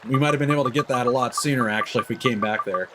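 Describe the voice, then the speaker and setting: A man's voice: mid-range voice, racing, very noisy. A man giving casual, jokey commentary while streaming a video game. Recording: game music behind the voice. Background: video game music.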